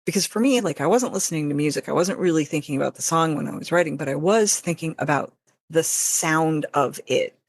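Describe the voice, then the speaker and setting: Woman, low voice, dry recording, podcast guest, casual reflective chat. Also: brisk, no room sound.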